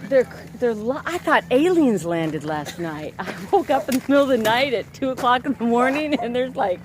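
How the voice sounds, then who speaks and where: A woman vlogger with a very animated, swinging intonation. She chats casually and teases playfully. Clear outdoor noise with vehicle sounds runs behind her voice. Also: fairly high pitch, normal speed. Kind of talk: casual chat. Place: outdoors at a desert RV camp.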